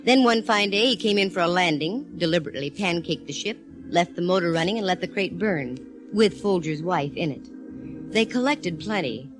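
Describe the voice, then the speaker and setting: A woman's voice: on the low side, expressive, moderate pace, close to an everyday voice. A woman playing a sassy wisecracking lady private eye. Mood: breezy. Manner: breezy, wisecracking, amused. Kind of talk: 1940s radio acting. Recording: old radio broadcast with faint organ music.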